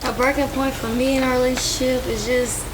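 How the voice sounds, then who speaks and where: Woman, quick, animated, exasperated, slightly high, noisy. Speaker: panelist. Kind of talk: casual group chat. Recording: noisy room.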